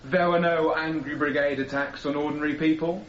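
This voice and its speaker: An actor playing a young radical defendant who questions coolly and insistently in a radio courtroom drama. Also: male voice, fairly high pitch, steady tone, lightly acted. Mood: probing. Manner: deliberate, insistent, probing. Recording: dull radio recording with clear noise.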